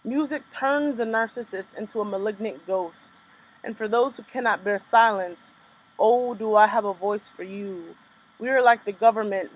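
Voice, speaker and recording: A young woman performing her own spoken word poems in a rhythmic, measured delivery, with casual chatty asides between pieces. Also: fairly high pitch, poor audio. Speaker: poet performing her work. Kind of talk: spoken word poetry reading.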